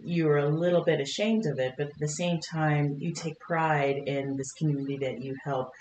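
A middle-aged woman from Kentucky, a podcast guest, talking in a warm, chatty way with frequent laughs and fillers. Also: deep voice, steady tone, normal speed, echoey room, slight background noise, rough recording. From Kentucky, United States. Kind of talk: conversational interview. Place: podcast studio.

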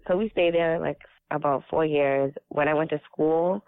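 A man's voice: a little high, fairly even intonation, normal speed, no room sound. A man raised speaking Swahili as his first language, telling his story in an earnest, reflective casual chat. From Africa. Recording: tinny phone-like sound.

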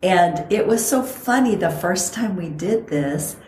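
A woman's voice: fairly low pitch, animated, measured pace, darkish tone, roomy sound, a little hiss or hum. A middle-aged woman from Costa Rica, co-hosting a podcast and telling stories in a reflective, chatty way. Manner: reflective storytelling. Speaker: podcast co-host. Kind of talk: casual chat.

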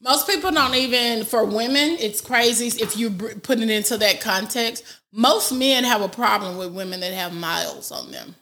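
A woman's voice: on the high side, normal speed, hardly any pauses, bright, forceful tone. A woman in her forties in casual chat, blunt and matter-of-fact. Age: 40s.

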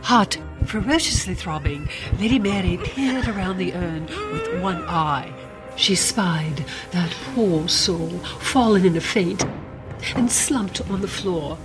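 A woman narrating a radio drama in a theatrical, expressive storytelling style, savouring her words. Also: fairly low pitch, takes it slowly. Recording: music behind the voice.

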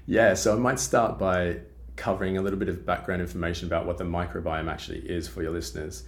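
Deep-voiced Australian man explaining science. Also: level tone, fast talker. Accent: Australian with British influence. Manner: explanatory, with frequent fillers and restarts. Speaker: guest scientist. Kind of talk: podcast interview.

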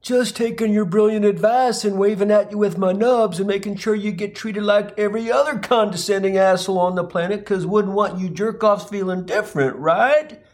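A man's voice: high-pitched, steady tone, moderate pace, hardly any pauses, a little mellow, pitched above the performer's own voice, close to an everyday voice. A man voicing a snide, vengeful, foul-mouthed man in a comic story, with a taunting manner. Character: snide, vengeful man with nubs, battering drivers.

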